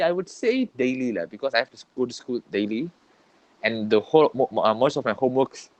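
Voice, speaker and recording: A man's voice: mid-range voice, dry recording. A young man with a Malaysian English accent, chatting casually as a podcast co-host. He rambles, repeats himself and tacks on "lah". The sound is dry and close, with no room around it. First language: Tamil. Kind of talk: casual chat.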